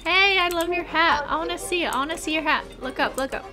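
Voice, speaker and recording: A young woman chatting excitedly with friends while playing a game, often gasping and laughing. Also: very high voice, quick pace. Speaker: player. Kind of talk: casual gaming chat. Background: game music.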